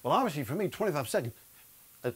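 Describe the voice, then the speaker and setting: A man's voice: on the high side, very animated, fast talker, husky. A man in his fifties who stutters, giving a humorous sermon from a studio stage with a lapel mic. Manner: stutters, jokes about it. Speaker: speaker of a men's Bible study. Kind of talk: humorous sermon to a live audience. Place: studio stage before a seated audience, indoors.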